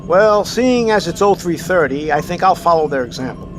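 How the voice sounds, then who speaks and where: An actor playing a tired, decisive submarine captain who gives calm, brisk orders in an audio drama. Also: male voice, on the high side, music in the background, natural voice. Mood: calm command.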